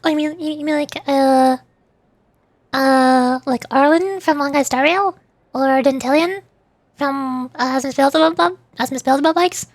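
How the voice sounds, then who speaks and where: A woman's voice: high-pitched, unhurried, dry recording. A woman streamer chatting casually with viewers in a playful, cutesy manner. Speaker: streamer and voice performer. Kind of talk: casual livestream chat, answering viewers.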